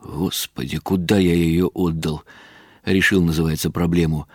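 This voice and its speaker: A man's voice: deep voice, fairly even intonation, moderate pace, darkish tone, no room sound, studio quality. An actor playing a weary, wry middle-aged writer who narrates his own story in ironic inner monologue for a radio drama. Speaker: narrator and lead character.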